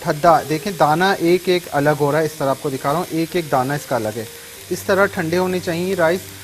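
A man's voice: fairly high pitch, a little hiss or hum. A man in his thirties with a Sindhi accent, hosting a TV cooking show from a studio kitchen and explaining each step as he cooks. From Sindh, Pakistan. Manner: instructive, repeats steps in two languages. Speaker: TV cooking show chef and host. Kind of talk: cooking demonstration, taking phone calls.

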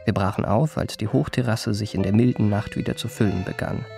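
A man's voice: on the low side, brisk, gravelly, dark tone, soft background music. An actor playing a suave, self-possessed young confidence trickster, narrating his memoirs in polished literary style for a radio play. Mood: polished, composed. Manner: polished, courteous, composed.

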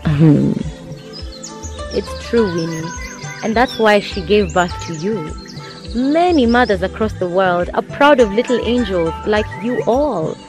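A woman's voice: mid-range voice, animated, measured pace, over music, lightly acted. A woman playing a weary, sorrowful mother in a radio drama, reflecting on her past. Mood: wistful.

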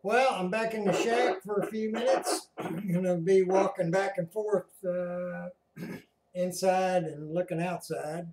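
A man giving casual, halting running commentary as a radio hobbyist, recorded indoors in his radio room. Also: high-pitched, fairly even intonation, a little mellow, a little hiss or hum. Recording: roomy echo.

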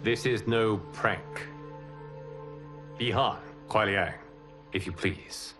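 A grave, dignified god of fire in a game cutscene, formal and calm in every word. He speaks low and slow over music. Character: solemn male god of fire, protector. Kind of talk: video game cutscene acting.

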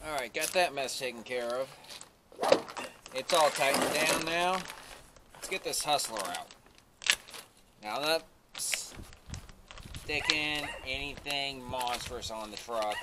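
A man's voice: medium pitch, slow, pauses often. A man giving a casual, offhand hands-on tutorial to camera, recorded outdoors from a short distance. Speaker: DIY vlogger demonstrating an install. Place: outdoors beside a pickup truck. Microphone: camera set up a short distance away. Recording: noisy outdoor camera audio with clicks.

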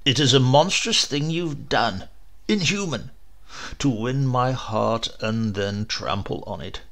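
Male reader as wounded gentleman in a storybook melodrama: impassioned, gravelly, volatile between hush and outburst. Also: mid-range voice, expressive, takes it slowly. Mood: anguished rage. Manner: impassioned, melodramatic, swinging from hushed to loud. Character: scorned English gentleman, raging and bitterly wounded. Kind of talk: dramatic storybook reading.

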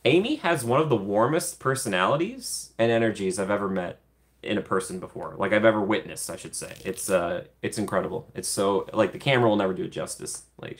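Chatty young man on a livestream. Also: fairly low pitch. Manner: chatty, reads and answers viewer comments. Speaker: livestream host. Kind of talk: casual livestream chat. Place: small room at a desk.

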